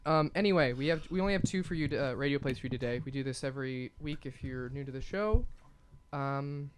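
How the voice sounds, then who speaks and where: A man's voice: mid-range voice, fast talker, clear, resonant tone. A young man with an American accent hosting a radio show in a casual, joking way. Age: young adult. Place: small radio studio. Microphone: studio microphone with headphones.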